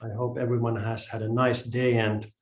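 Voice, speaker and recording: A man from Finland with a Finnish accent, introducing a live-streamed class as an instructor, speaking hesitantly with pauses. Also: mid-range voice, level tone. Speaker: yoga and calisthenics instructor. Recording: roomy, slightly dull live-stream audio.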